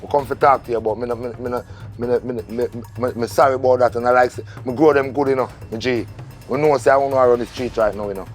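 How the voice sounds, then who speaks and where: A man's voice: mid-range voice, steady tone, fast, faint music behind, lightly acted. A man with a Jamaican accent acting an anxious street man trying to defuse trouble, urgent and repetitive, in a drama. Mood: placating, pleading.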